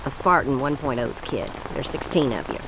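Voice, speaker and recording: A woman's voice: rumbling low, moderate pace, background noise. An actress playing a folksy, motherly, plain-spoken older woman in a radio drama. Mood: consoling, chatty. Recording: steady rain behind the voice.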